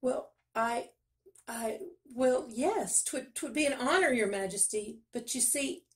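A woman's voice: a little high, lively intonation, takes it slowly, recorded from a distance, pitched above the performer's own voice. A woman voicing a flustered, servile male miller from a storybook, with a stammering, fawning manner.

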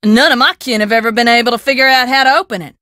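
A woman's voice: fairly high pitch, racing, pushed and bright, no echo, studio quality. A woman voicing a sassy, bossy frontier shopkeeper with a drawling American country twang in a video game. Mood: suspicious, stubborn. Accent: rustic American country twang. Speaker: keeper of an old-time museum and taffy shop. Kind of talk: video game character dialogue.

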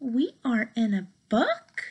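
Lady doing an excitable nervous storybook elephant guy, exclaims in wonder, voice swoops all over. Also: on the high side, slow, husky, full character voice. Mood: amazed. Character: Gerald, excitable anxious male cartoon elephant from a picture book.

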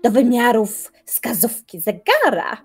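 An actress playing a proud young woman philosopher in an audio drama, trading sharp, sarcastic retorts. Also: a little high, wildly swooping pitch, slow, husky, soft background music, exaggerated voice. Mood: scornful. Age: young adult. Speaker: voice actor.

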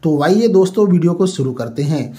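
A man's voice: fairly high pitch, lively intonation, a little fast, warm tone. A man hosting a tech tutorial, explaining an app step by step in a repetitive, instructional way.

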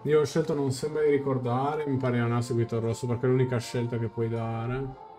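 A young man talks through a game on stream in a soft-edged, mellow voice. He is reflective and explanatory, chatting casually at a medium pitch, with light game music behind him. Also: level tone, brisk. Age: young adult. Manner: reflective, explanatory, thinking aloud. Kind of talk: live reaction commentary and translation, casual.

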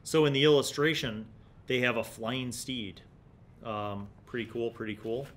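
A man's voice: medium pitch, steady tone, measured pace, a bit bright. A middle-aged man hosts a casual review, musing in stop-and-start phrases and reading excerpts aloud into a desk mic. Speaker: host of a tabletop gaming review series. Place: small indoor studio room. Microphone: desk microphone in front of him.

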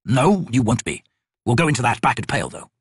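A man's voice: mid-range voice, sing-song, breathy edge, no room sound. Man acting a grizzled growling army commander. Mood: gruff, measured. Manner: growling, measured, authoritative. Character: grizzled, gruff veteran male army commander.

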